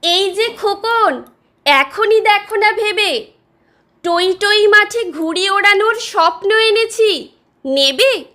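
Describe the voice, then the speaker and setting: A woman's voice: very high voice, expressive, slow, sharp tone, pitched above the performer's own voice, full character voice. A woman reciting a poem as an eager young village boy who peddles dreams, coaxing and earnest. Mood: coaxing, friendly. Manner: coaxing, earnest, street-seller address.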